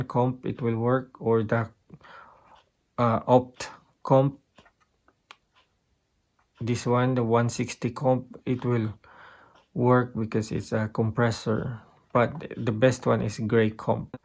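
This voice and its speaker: Male, Filipino accent, casual gear-tutorial presenter. Unhurried, halting delivery, mid-pitched with a creaky edge. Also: darkish tone. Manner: halting, thinking aloud while pointing out settings. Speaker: guitarist giving a gear tutorial. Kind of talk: casual unscripted demonstration.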